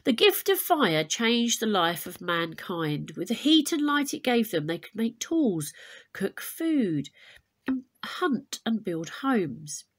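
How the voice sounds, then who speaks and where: A woman teacher reading a children's picture book aloud expressively, with deliberate, dramatic emphasis on key words. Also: mid-range voice, very animated. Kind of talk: expressive storybook read-aloud.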